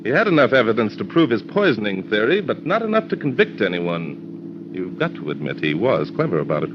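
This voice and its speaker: An actor playing a shrewd, self-assured amateur detective in theatrical old-time radio mystery style. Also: male voice, fairly low pitch, very animated, fairly quick. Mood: explaining. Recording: noisy muffled old radio recording.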